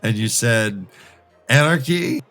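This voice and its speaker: A man's voice: medium pitch, lively intonation, takes it slowly, a bit bright, soft background music. A man co-hosting a casual book discussion, playful and a little hesitant as he thinks aloud. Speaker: podcast co-host. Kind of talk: casual banter and debate about fantasy books.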